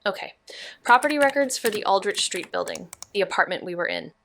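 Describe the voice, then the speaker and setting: A woman acting a quick-witted, playful and determined amateur investigator in a naturalistic mystery audio drama. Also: mid-range voice, expressive, fairly bright tone, a little hiss or hum, close to an everyday voice. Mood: focused, investigative. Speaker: actor in a neo-noir mystery podcast.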